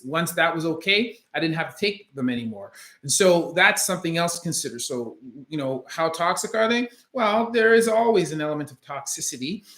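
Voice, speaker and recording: A halting, roomy-sounding Canadian doctor. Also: male voice, on the high side, sharp tone. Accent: Canadian, with a faint Jamaican trace. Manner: thoughtful and measured, with many ums and uhs and restarts. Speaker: orthopedic surgeon answering viewer questions. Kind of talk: casual question-and-answer livestream. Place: his home office. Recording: roomy echo in a room.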